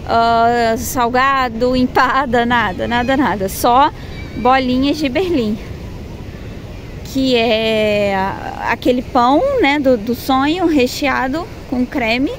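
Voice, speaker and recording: A woman's voice: a little high, slow pace. A woman in her thirties with a Brazilian Portuguese accent, chatting casually to the camera as a travel vlogger, repeating words for emphasis. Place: outdoors by a seaside town, windy. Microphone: phone camera held at arm's length. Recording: outdoor phone audio with wind and traffic noise.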